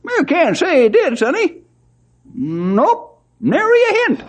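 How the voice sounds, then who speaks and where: An actor playing an excitable, folksy old sidekick with a rustic drawl in a radio western. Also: male voice, extremely high pitch, slow pace, exaggerated voice. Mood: worried. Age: older. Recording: old radio drama recording.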